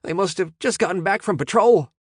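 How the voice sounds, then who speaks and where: A man voicing a panicked young outlaw lookout who rushes his warnings. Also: high voice, slightly rough, no room sound, clean studio sound, pitched above the performer's own voice. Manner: frantic, breathless urgency.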